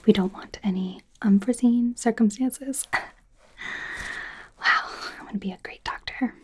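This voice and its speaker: A woman playing an anxious, apologetic young werewolf medical student in a soft, hesitant ASMR roleplay whisper. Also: on the high side, breathy edge. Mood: earnest, hesitant. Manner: hesitant, halting, nervous.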